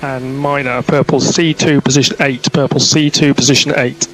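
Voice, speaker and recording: A man's voice: medium pitch, flat delivery, moderate pace. A man working as a race engineer on team radio, giving clipped instructions and repeating each one. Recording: noisy team radio, vehicle noise.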